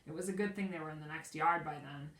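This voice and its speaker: A woman teacher reading a children's storybook aloud expressively, pausing to explain the pictures. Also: sits low, fairly bright tone. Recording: echoey room, distant from mic.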